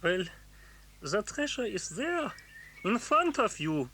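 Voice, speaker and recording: An actor playing a weak, defensive foreign prisoner in a children's audio drama. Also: male voice, high voice, big pitch swings, takes it slowly, pushed and bright, a little hiss or hum, full character voice. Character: weak foreign male prisoner, defensive treasure-map reader. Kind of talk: children's radio drama acting.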